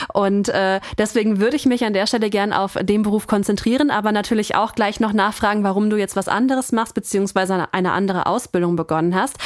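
A woman's voice: medium pitch, no echo, studio quality. A woman hosting a careers podcast, asking curious, guiding questions. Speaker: podcast host, interviewer. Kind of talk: podcast conversation.

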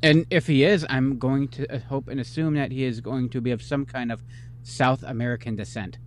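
A man's voice: mid-range voice, fairly even intonation, a little fast, a little hiss or hum. A man co-hosting a casual podcast chat, chiming in agreeably and making his own points. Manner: agreeable, chimes in with backing remarks. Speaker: podcast co-host.

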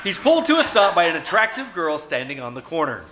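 Animated high-pitched man, old-time radio announcer. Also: quick pace, background noise. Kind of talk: 1940s radio announcing, scripted narration. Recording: live show with loud music and crowd. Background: loud music and audience.

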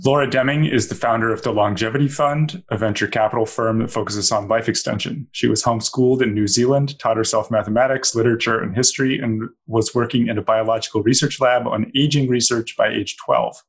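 Husky-voiced man hosting a salon. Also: medium pitch, fairly even intonation. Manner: measured, formal introductions. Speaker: host, editor-in-chief. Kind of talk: hosting a video-call interview. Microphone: video call.

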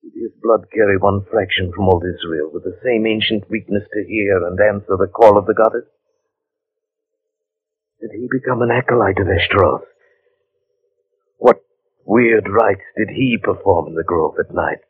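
An actor playing an earnest, unsettled gentleman who narrates an eerie tale in measured, dramatic 1940s radio style. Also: on the low side, husky. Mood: awed, dawning dread. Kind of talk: 1940s radio acting, first-person suspense narration. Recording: muffled, narrow-band 1940s radio recording.

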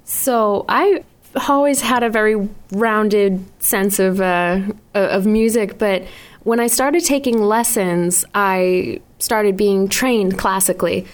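A woman's voice: mid-range voice, slow. A young woman singer with a New England accent, chatting in a radio studio interview, rambling with frequent laughs and restarts. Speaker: singer-songwriter guest.

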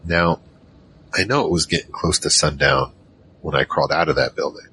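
A man's voice: very deep voice, normal speed, pushed and bright, slight background noise. A man playing a battle-worn gunfighter who narrates his own story in an audio drama, terse and world-weary. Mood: somber. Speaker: narrator and lead character. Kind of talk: first-person noir-like audio drama narration. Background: music.

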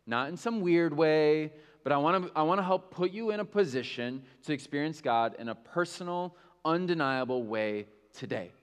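A man's voice: a little high, animated, moderate pace, clean tone, big-room sound. A male pastor preaching a sermon in a candid, conversational way, with self-mocking asides.

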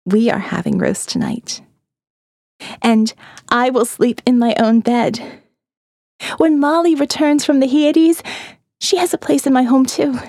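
Female narrator, eerie and dreamy, hushed dips, dry booth sound. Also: very animated, unhurried, pauses often, dry recording. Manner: dreamy, eerie, drops to hushed low tones. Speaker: audiobook narrator. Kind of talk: scripted first-person narration.

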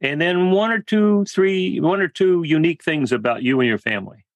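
A man's voice: fairly high pitch, moderate pace, no echo, dull audio. A man hosting a roundtable podcast, moving guests along with brief, encouraging prompts. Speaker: podcast host. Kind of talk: moderating a roundtable.

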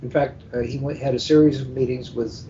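A middle-aged or older man speaking as an editor in an informal panel discussion, with deliberate, repetitive phrasing. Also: fairly even intonation, mellow, recorded from a distance. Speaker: editor, podcast guest. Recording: muffled lo-fi internet call with rumbling noise.

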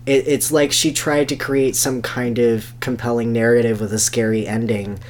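A man's voice: medium pitch, little pitch movement, moderate pace, a little hiss or hum. A man co-hosting a podcast in casual comedic chat, with a wry, incredulous way of talking. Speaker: podcast co-host.

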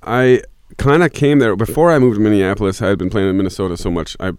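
Man, very deep smooth voice, rambling musician guest, dry close sound. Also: fast talker, a little mellow, no echo. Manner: rambling, many restarts and fillers. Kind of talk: relaxed interview answers.

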